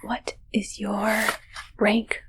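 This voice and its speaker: A woman in an ASMR roleplay voicing a soft-spoken, awkward alien posing as a ship's doctor, mostly whispering with halting, politely formal delivery. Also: medium pitch, level tone, noisy background. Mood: hesitant, curious.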